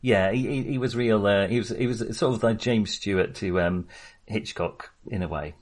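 A man's voice: lowish voice, normal speed. A man talking casually as a podcast host discussing films, enthusiastic but hesitant and rambling as he goes.

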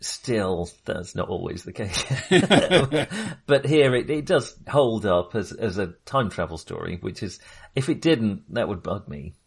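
British man, hesitant and rambling, slightly husky. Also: lowish voice, very animated, unhurried. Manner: hesitant, rambling, self-interrupting. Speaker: film podcast co-host. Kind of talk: casual film discussion.

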